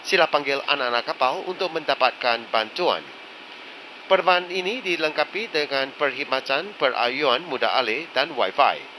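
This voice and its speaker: A man reading scripted cabin crew announcements in a formal, measured manner. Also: mid-range voice, expressive, low-quality recording. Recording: loud aircraft cabin background noise.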